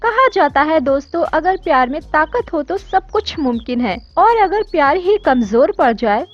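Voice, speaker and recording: High, animated female storyteller. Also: moderate pace. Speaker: narrator. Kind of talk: scripted story narration. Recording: dull lo-fi audio with music. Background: background music.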